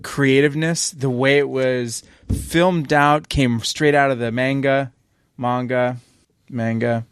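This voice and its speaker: A man's voice: mid-range voice, steady tone, takes it slowly, smooth, dry recording. A man chatting enthusiastically and a bit sheepishly on a casual podcast. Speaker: podcast co-host. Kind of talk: casual chat.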